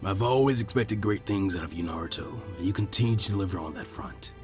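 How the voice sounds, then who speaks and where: Wise old leader played by a male narrator: low, hushed, solemn mentor, measured phrasing, music underneath. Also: lightly acted. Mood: proud, gentle. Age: older. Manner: measured, solemn, with weighty pauses. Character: elderly village leader, wise, grave, proud mentor. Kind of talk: acted dialogue in fan fiction audiobook.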